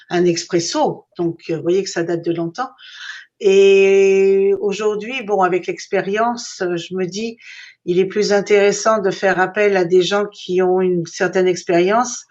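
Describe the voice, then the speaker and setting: A middle-aged woman from Paris chatting as a guest on a remote call, with a mid-range voice. She jokes and hesitates with fillers, and the line sounds a little dull and muffled. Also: medium pitch. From Paris, France. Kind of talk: casual chat.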